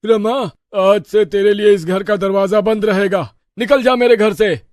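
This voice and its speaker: A man's voice: sits high, steady tone, measured pace, darkish tone, no room sound, clean studio sound. A man voicing an earnest family father and brother in a moral story. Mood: disappointed. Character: concerned father who turns stern with his sister.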